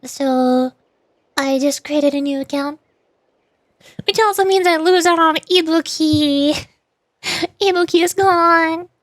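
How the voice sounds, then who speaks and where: High-pitched woman streaming a game. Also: slow pace, pauses often, no room sound. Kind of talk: casual livestream commentary with read-aloud game dialogue. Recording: game music behind. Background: faint game music.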